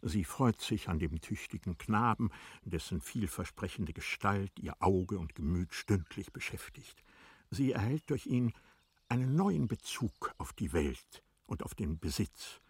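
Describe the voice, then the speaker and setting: Slow, low-voiced male literary narrator. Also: expressive, husky, no room sound. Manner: measured, formal storytelling delivery. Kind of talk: scripted literary narration for a radio play.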